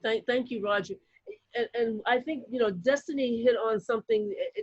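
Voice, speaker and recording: A moderator of a town hall, earnest and encouraging, often repeating words as he restarts. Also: medium pitch. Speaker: athletic director, moderator. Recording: dry, dull lo-fi audio.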